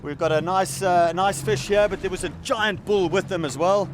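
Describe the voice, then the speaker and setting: A man's voice: sits high, steady tone, background noise. A man with an Austrian accent, hosting a fishing show and calling out the action enthusiastically from a boat offshore. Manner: enthusiastic, quick exclamations. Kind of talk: excited on-camera fishing commentary.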